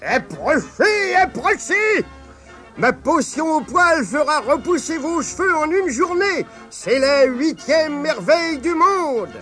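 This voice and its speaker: A man voicing a sly fairground charlatan doctor who booms out a drawn-out sales pitch. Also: sing-song, pushed and bright, soft background music, full character voice. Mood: hawking.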